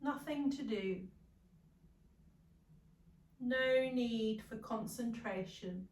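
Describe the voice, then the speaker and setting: A woman leading a guided meditation as a yoga nidra guide, calm, soothing and gentle, leaving long pauses. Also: on the high side. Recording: roomy echo, distant from the mic.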